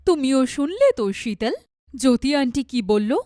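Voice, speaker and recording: A woman's voice: high voice, lively intonation, moderate pace, warm tone, no echo, studio quality. A woman voicing a stern, snobbish middle-aged mother in a cartoon story, scolding and emphatic.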